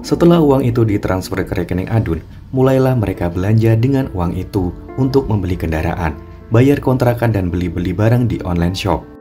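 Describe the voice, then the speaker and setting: Low-voiced male storyteller. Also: lively intonation, a little fast, warm tone, faint music behind. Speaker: narrator. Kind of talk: scripted storytelling narration.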